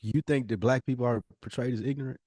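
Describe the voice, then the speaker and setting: A man's voice: medium pitch, fairly even intonation, fast, warm tone, dry recording. An American man co-hosting a podcast, talking casually with emphatic, slangy opinions. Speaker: podcast co-host.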